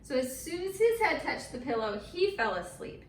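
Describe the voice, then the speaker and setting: A woman's voice: high voice, big pitch swings, normal speed. A woman teaching children a Bible story in simple, encouraging words, with dramatic emphasis as she tells it. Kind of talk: animated storytelling and chat with children. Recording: roomy, distant mic, faint noise.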